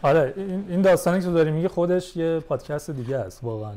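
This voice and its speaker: A man talks as a podcast guest in an informal, explanatory way. His tone is mellow and soft-edged, pitched a little higher than most men. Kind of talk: casual explanatory chat.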